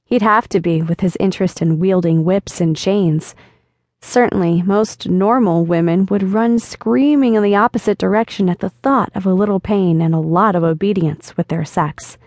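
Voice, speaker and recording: A woman audiobook narrator reading a novel in a steady, intimate storytelling voice. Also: mid-range voice, moderate pace, no room sound, studio quality. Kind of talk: scripted narration.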